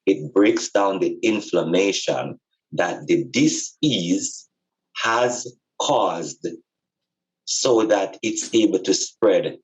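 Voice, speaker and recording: A man giving an informal, explanatory spiritual talk in a deliberate, emphatic way, repeating phrases for stress. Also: low voice, low-quality recording. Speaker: podcast speaker and spiritual teacher.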